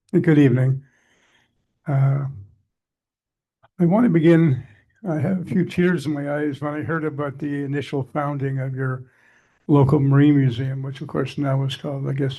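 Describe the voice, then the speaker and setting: A man's voice: mid-range voice, level tone, normal speed, long pauses, lo-fi sound. An older Canadian man giving a chatty, digressive talk to a society meeting, his voice scratchy from allergies. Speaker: guest speaker.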